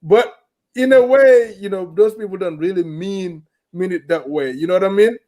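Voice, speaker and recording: Man, high voice, panel host, measured explaining, from gambia. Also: dark tone. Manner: measured, explaining, often asks "you understand?". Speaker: talk show host. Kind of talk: panel discussion, mixing English and a local language.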